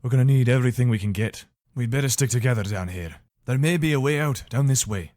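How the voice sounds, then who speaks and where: A man's voice: mid-range voice, fast, darkish tone, no echo, studio quality, close to an everyday voice. A man playing a dutiful, weary but decent imperial soldier in a fantasy video game, speaking earnestly in short, commanding phrases. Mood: wary, practical. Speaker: voice actor for a game mod.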